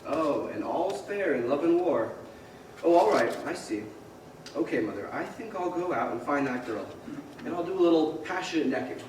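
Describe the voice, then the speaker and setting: A man's voice: fairly high pitch, lively intonation, normal speed, darkish tone, lightly acted. An actor playing a stubborn, awkward, grumbling young small-town man in an old-time radio play. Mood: sarcastic. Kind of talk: old-time radio drama stage acting. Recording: echoey theater, distant mic, faint noise.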